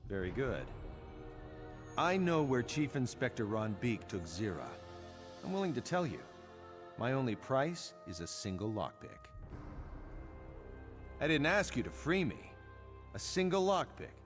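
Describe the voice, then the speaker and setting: An actor playing a sly, smooth-talking captive thief in a video game, measured and teasing in a theatrical way. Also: male voice, mid-range voice. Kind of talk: scripted video game dialogue. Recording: long reverb, music underneath.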